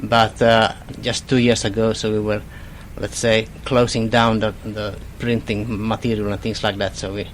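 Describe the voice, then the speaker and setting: Flat-voiced Swedish-speaking Finnish man. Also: lowish voice, slight background noise. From Finland. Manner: halting, non-native phrasing. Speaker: radio club guest. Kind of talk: casual interview.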